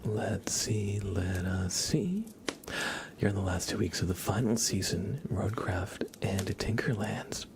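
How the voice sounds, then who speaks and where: A man's voice: lowish voice, slow, noisy background. A young man whispering casual, rambling chat as the host of an ASMR livestream, often hesitating.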